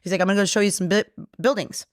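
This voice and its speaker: A woman whose first language is Polish, chatting as a guest on a bar podcast and telling animated stories with acted-out quotes. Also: medium pitch, very fast, no echo, studio quality. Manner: animated storyteller, acts out quotes, swears casually. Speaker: guest, brewery co-owner. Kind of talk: casual bar podcast chat.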